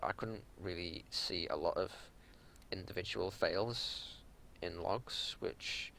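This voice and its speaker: A man's voice: low-pitched, gravelly, bright, forceful tone, slight background noise. A man giving unscripted game analysis as a raid leader, explaining things in an exasperated, matter-of-fact way. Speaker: raid leader reviewing gameplay.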